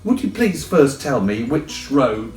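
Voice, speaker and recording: A man's voice: mid-range voice, big pitch swings, slow pace, a little mellow, reverberant, distant mic, soft background music, voice pushed up. A man voicing a polite, hesitant, curious young girl in a storybook. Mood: polite, doubtful. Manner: polite, doubtful.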